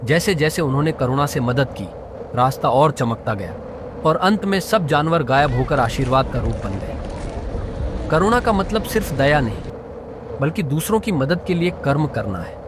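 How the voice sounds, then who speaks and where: A man's voice: fairly high pitch, very animated, moderate pace. A man narrating a scripted moral story for children as a storyteller. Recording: clearly noisy background.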